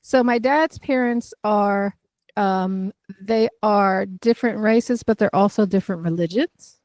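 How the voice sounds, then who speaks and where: A woman from the United States, a podcast guest, answering in a thoughtful, measured way with small fillers. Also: mid-range voice, a little mellow, dry recording. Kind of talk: conversational interview.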